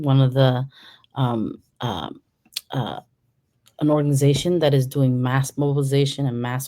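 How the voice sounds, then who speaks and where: Woman moderator, very low voice, hesitant gentle questions. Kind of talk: moderating a panel.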